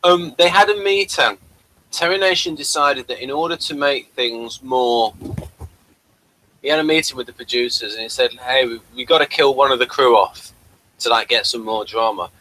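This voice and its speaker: A man hosting a casual review chat, explaining things in a halting way and often restarting mid-thought. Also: mid-range voice, pushed and bright, low-quality recording. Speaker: podcast host.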